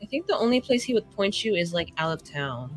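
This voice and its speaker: Woman playing a lively, sardonic fantasy woman. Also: medium pitch, brisk, soft background music, lightly acted, rough recording. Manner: casual, sarcastic. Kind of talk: tabletop roleplay in character.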